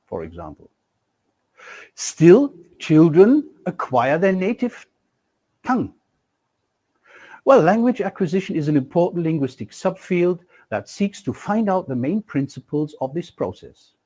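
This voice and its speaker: A middle-aged man delivers a recorded university lecture in an expressive way, tossing out rhetorical questions and leaning hard on key words. His voice sits somewhat high and rises and falls widely. The delivery is animated and emphatic. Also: rough recording.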